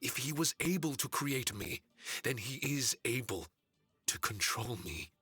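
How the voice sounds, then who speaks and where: A man's voice: mid-range voice, lively intonation, a little slow, bright, forceful tone, breathy edge, no room sound, faint music behind, exaggerated voice. An actor playing a solemn, humble male spirit warrior in a video game, speaking in a grave, formal way. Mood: grave resolve. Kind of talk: English video game voice acting.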